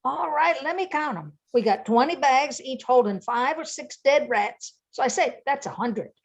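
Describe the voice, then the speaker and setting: A woman's voice: sits high, lively intonation, normal speed. An older woman playing a plainspoken, squeamish but practical bayou hunter in a radio play.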